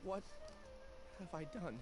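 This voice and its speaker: A man's voice: sits high, animated, slow pace, music in the background. An actor playing a troubled, uncertain young man in a video game, with a hesitant, pained manner. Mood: shocked. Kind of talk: scripted video game voice acting.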